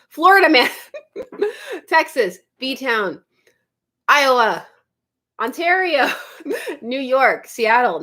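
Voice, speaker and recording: A woman from Canada hosting a relaxed livestream from her home studio. She chats freely with her viewers, breaks into frequent giggles and wanders from one topic to the next in an easygoing way. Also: on the high side. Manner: giggly and chatty, laughs often, drifts off topic. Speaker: YouTube livestream host. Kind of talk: casual livestream chat reading viewer comments.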